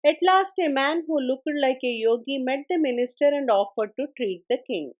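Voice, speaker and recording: A woman's voice: high voice, expressive, normal speed, darkish tone, highs cut off. A woman narrating a scripted story as a storyteller.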